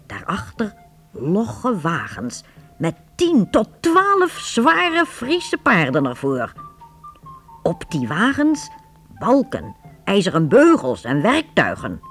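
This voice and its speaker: A woman narrating a Dutch radio play in a measured, dramatic storytelling manner. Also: on the low side, heavily acted. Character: storybook narrator of a medieval adventure.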